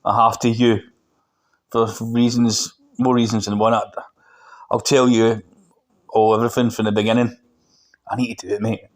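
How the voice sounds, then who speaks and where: A man's voice: fairly low pitch, flat delivery, moderate pace, pauses often, natural voice. Haunted Glasgow cabbie played by a male reader, hesitant and earnest, audiobook dialogue. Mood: desperate, resolute. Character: frightened, haunted Glaswegian cab driver, male.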